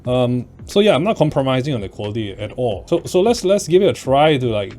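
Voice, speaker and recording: A man's voice: mid-range voice, big pitch swings, fairly quick, a little mellow, slight background noise. A man giving a casual product review in a blunt, opinionated, casually sweary manner. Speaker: tech reviewer.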